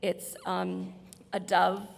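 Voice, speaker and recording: Woman, heavy vocal fry, slightly hoarse, conversational presenter, reverberant hall. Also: on the low side, completely flat delivery, extremely slow. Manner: explanatory, conversational. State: slightly hoarse, losing her voice. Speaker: presenter from hospital staff. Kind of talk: informal slide presentation at a conference. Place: large indoor presentation room.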